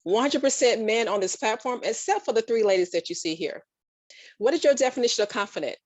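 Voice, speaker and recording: A woman's voice: on the high side, animated, brisk, darkish tone, no echo. Upbeat woman emceeing an online event. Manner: upbeat, laughing, enthusiastic introductions. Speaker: master of ceremonies. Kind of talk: hosting an online conference.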